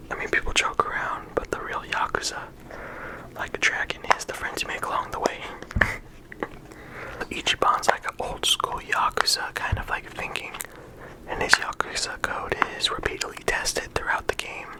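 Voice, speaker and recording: A person whispering in ASMR style, talking through a discussion in a casual, halting way with lots of filler words. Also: low-quality recording. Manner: casual, halting phrasing with frequent "like" and "you know". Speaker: video creator and host.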